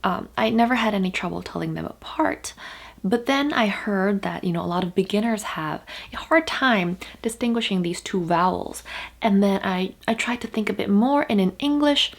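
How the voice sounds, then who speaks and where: A young woman whose first language is Korean teaches pronunciation to the camera in a casual, chatty way. She explains patiently, pausing on fillers and restarting her sentences. Now and then she holds a vowel out long to demonstrate it. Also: medium pitch, creaky. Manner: draws out vowel sounds as demonstrations.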